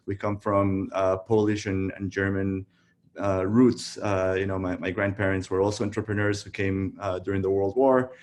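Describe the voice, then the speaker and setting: A man in his thirties from Costa Rica, telling his story in a relaxed way with many fillers on a video call. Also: low voice, normal speed. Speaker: guest, tech founder. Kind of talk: casual virtual interview.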